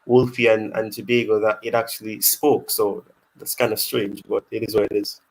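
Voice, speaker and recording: A man's voice: on the low side, flat delivery, normal speed, gravelly, low-quality recording. A man hosting a casual football fan live stream, reading chat questions aloud and rambling with many restarts.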